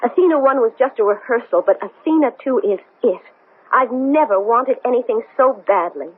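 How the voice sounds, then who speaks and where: An actress playing an anxious, strong-willed woman astronaut in a 1970s radio drama, speaking in an agitated, halting way. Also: a little high, animated, husky. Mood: earnest, excited. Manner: agitated, halting, stammering speech. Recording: noisy, thin, phone-like old radio broadcast.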